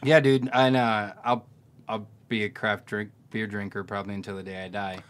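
A man's voice: on the low side, level tone, normal speed, fairly bright tone. A man in his thirties hosting a podcast, chatting casually in a laid-back way with lots of "dude". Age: 30s. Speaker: podcast host. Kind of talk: casual chat.

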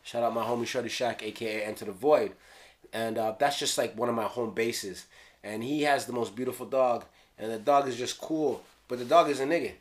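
A Jamaican man in his thirties casually explaining his own rap lyrics in a small room, playful and slangy, sometimes dropping to a hush. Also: medium pitch, fairly quick. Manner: playful, slangy, drops into hushed asides. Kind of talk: casual solo video commentary. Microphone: studio mic on stand.

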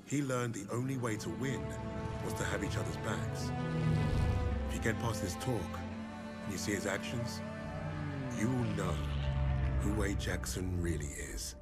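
An actor playing a grave soldier who narrates a war story in a solemn, measured way, as in a video game. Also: male voice, on the low side, lightly acted. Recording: loud music under the voice. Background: loud music.

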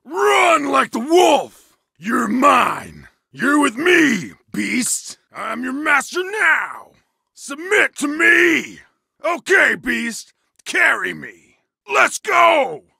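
An actor playing a feral wolf-worshipping berserker warrior in a video game, with a gravelly, raspy voice and snarling, bellowing battle shouts. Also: male voice, very high-pitched, very animated, drawn-out words, dry recording, clean studio sound, full character voice. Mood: commanding.

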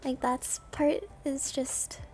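Girl streaming a game, slow talker, kinda creaky, mutters to herself n chat, music in the background. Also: high voice, level tone, a little mellow. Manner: muttering reactions to herself and chatting with viewers. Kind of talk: casual live gaming stream commentary.

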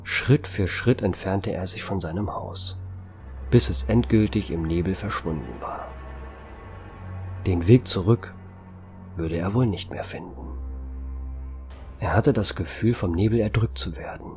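A man's voice: deep voice, measured pace, long pauses, darkish tone. A man reading dramatic scripted narration of a horror audio story. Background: background music.

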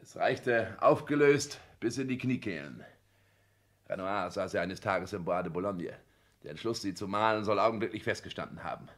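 An actor playing a pompous, calculating husband who boasts and lectures in a declamatory 1960s radio-play manner. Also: male voice, on the low side, moderate pace, natural voice. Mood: boastful, animated.

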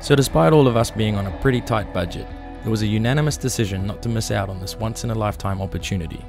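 A man's voice: on the low side, fast talker, creaky, music in the background. Easygoing young man narrating a sailing trip. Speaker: sailing vlog host and skipper. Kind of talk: casual travel narration.

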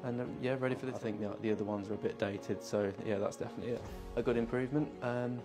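A man's voice: mid-range voice, steady tone, very fast, music in the background. A man in his thirties presenting and interviewing, talking hesitantly with many restarts, on a lapel mic in an empty house. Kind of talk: casual interview and walkthrough narration.

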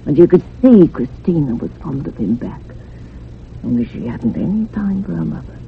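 An older housekeeper in a radio thriller, troubled and gossipy. Her creaky voice swings widely up and down as she rambles. The sound is thin and phone-like. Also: sits low, sing-song, moderate pace, exaggerated voice. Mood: confiding, gossipy. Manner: rambling, dramatic, hushed at moments. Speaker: actor in a radio drama. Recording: muffled phone-like radio recording with hiss.

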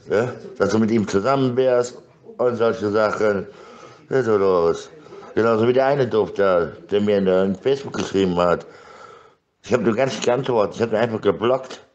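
A man's voice: lowish voice, a little mellow. A middle-aged man in a rambling, insistent and repetitive informal monologue, talking into a phone. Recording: dull lo-fi phone audio.

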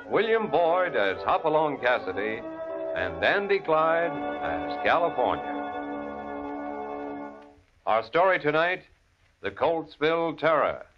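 A man with an American accent narrates an old radio western in a grand, dramatic style. His pitch swings expressively. The recording is muffled, with prominent music underneath. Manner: theatrical, grandiose. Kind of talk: dramatic 1940s-50s radio announcing.

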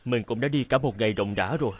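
A man's voice: medium pitch, quick pace, natural voice. An actor voicing a kind, polite young male traveller in a cartoon. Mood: weary. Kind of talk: animated cartoon dubbing. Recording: music behind the voice.

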